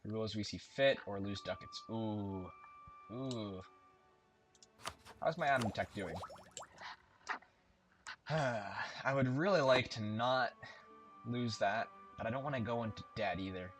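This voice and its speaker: A man's voice: on the low side, unhurried, long pauses, fairly bright tone. A man casually commentating a strategy game as he plays, thinking aloud and correcting himself as he goes. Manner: thinking aloud while playing, chatty and self-correcting. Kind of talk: casual let's-play commentary. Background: game music and keyboard typing.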